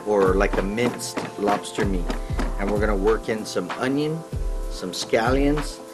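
Low-voiced middle-aged man cooking outdoors. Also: a little slow, over loud music. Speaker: chef and cooking show host. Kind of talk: casual cooking demonstration. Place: outdoor patio at night.

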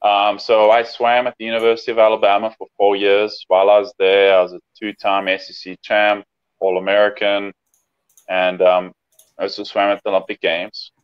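A man's voice: on the low side, little pitch movement, normal speed, smooth. A young man in his twenties from South Africa, talking easygoing and plainly as a guest on a video call. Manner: easygoing, plain, many ums. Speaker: guest swimmer. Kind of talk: casual interview on a video call. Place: home room. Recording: dull lo-fi call audio.

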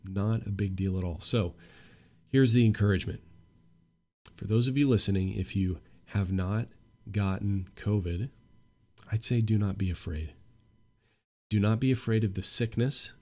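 Young male podcast host, congested, low-pitched, earnest and opinionated. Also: moderate pace, pauses often. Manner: earnest, opinionated, grows emphatic. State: stuffy nose from a cold. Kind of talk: solo podcast monologue.

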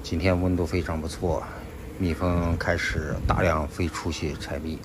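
Very deep-voiced man, outdoors among buzzing bees. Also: flat delivery, normal speed, background noise. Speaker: beekeeper. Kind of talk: casual narration of hands-on work. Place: outdoors at open beehives.

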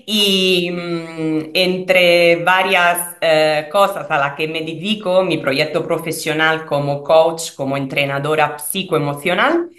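A woman in her thirties from Italy, with an Italian accent, answering interview questions as a relationship coach over a video call in a room at home, expressive and pausing with fillers. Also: sits low, roomy sound. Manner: expressive, searching for words with fillers. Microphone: video call webcam.

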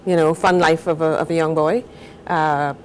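An older woman from Guyana, a novelist, talking and reading aloud in a measured, thoughtful way on a TV studio set. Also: sits low, steady tone, a little hiss or hum, poor audio. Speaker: novelist guest.

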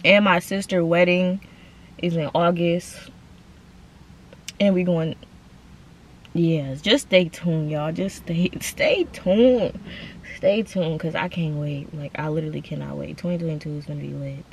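A woman's voice: lowish voice, unhurried, pauses often, slight background noise. Chatty young woman vlogging from a car. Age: 20s. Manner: chatty, slangy, addresses viewers as y'all. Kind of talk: casual chat to camera. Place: inside a parked car.

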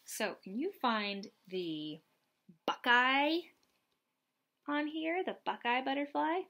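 A young adult woman reading aloud and explaining gently, as a teacher does for young children. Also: sits high, slow pace. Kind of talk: children's read-aloud and lesson. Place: small lamp-lit room at home, indoors.

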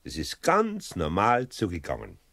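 Husky, animated Bavarian craftsman. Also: low voice, measured pace, full character voice. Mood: calm, kindly. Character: good-natured older craftsman, firm but kindly. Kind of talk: German children's radio play acting.

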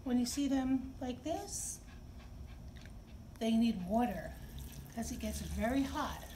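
A middle-aged woman hosting story time, speaking to young children in simple, expressive phrases. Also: on the high side, slow. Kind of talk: read-aloud and talk for young children. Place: indoor library children's room. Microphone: camera a few feet away. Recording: distant camera mic, clear background noise.

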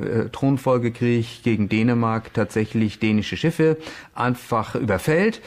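A man speaking off the cuff as an expert, explaining things in an interview. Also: mid-range voice. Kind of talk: spontaneous explanatory interview talk.

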